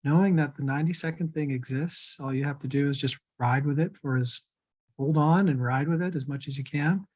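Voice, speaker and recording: Calm man leading a wellness workshop. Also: fairly high pitch. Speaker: workshop instructor. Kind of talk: online workshop teaching.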